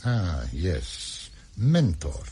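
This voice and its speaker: A man playing a suave, self-satisfied Soviet ambassador in a radio drama, with a husky voice and formal, pompous delivery. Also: sits low, sing-song, very slow, warm tone, heavily acted.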